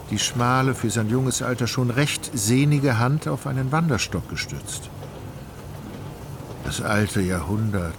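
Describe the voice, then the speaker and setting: An actor narrating a literary radio play as an ironic, all-knowing storyteller, measured and ornate in his delivery. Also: medium pitch, background noise, lightly acted. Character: wry, omniscient, ironic male storyteller. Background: music.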